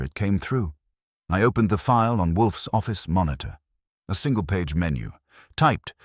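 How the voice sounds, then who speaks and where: A man's voice: low-pitched, no echo, studio quality. A man narrating a scripted first-person detective story.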